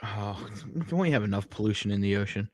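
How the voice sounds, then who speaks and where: Sardonic man with lively, swinging intonation. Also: on the low side, a little fast, dry recording. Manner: profane, sardonic. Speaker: podcast co-host. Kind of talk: casual podcast banter.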